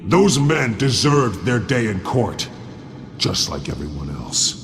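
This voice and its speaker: An actor playing a grim masked vigilante in a video game, speaking in a low growl with terse, solemn authority. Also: male voice, big pitch swings, takes it slowly. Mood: firm. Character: grim masked male vigilante, stern and resolute. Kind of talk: video game cutscene acting. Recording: roomy echoing hall, music behind.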